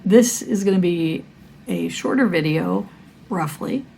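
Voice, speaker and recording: Hoarse woman, scratchy gravelly voice, lowish pitch, rambling chat, faint room hum. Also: animated, measured pace, a little mellow. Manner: rambling, many fillers and self-corrections. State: hoarse from a cold. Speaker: cross-stitch vlogger. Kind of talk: casual chat to camera.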